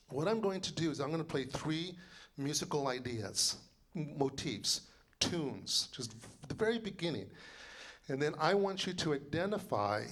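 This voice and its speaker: A man in his sixties, a composer and librettist, giving a loud, rambling informal lecture full of fillers and restarts. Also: on the high side. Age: 60s.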